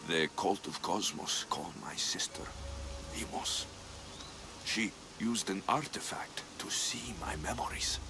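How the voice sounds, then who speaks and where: A man playing a hardened young Greek mercenary in a dramatic video game, gruff, terse and intense in his delivery. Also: sits low, slow, noisy background. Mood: grave, urgent. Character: hardened young Greek mercenary, skeptical, driven to protect family.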